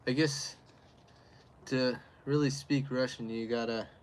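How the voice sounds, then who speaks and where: A man talks casually on a livestream from outdoors, wandering from thought to thought as he answers his chat. His voice sits at an ordinary middle pitch, and faint outdoor sound runs behind him. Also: steady tone, unhurried, slight background noise. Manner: rambling, answers chat, loose and plain-spoken. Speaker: livestreamer reviewing beer. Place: outdoors in chilly air.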